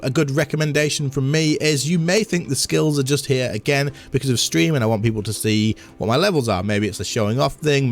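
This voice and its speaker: A man with a slightly hoarse voice, giving a casual game tutorial and chatting as he demonstrates on screen. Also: mid-range voice. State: slightly hoarse, losing his voice. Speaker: gaming content creator.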